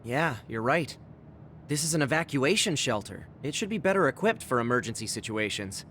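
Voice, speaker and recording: A man's voice: medium pitch, lively intonation, a little fast, a bit bright, a little hiss or hum, close to an everyday voice. A man playing an earnest, slightly timid, analytical young teammate in an anime game dub. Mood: thoughtful. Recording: music behind the voice.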